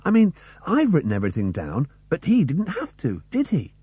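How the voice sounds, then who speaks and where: A man plays a curious schoolboy in a storybook reading. The voice is raised high and kept light and youthful, with an eager, questioning lilt and a soft husky edge. It sits close and dry on a cassette recording. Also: huge pitch swings, normal speed, no echo, heavily acted. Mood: eager, hushed.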